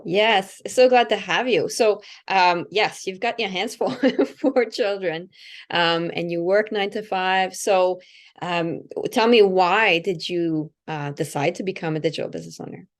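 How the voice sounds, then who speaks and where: A woman's voice: lowish voice, a little slow. A woman hosting a video call interview, asking questions in a friendly, encouraging way with many fillers. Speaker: host, interviewer. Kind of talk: casual interview chat.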